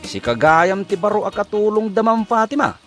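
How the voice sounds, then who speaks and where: A woman's voice: medium pitch, very animated, moderate pace, a bit bright, faint music behind. A woman with an Ilocano accent playing a friendly, gossipy household driver in a comedy drama. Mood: gossipy, warning. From Philippines.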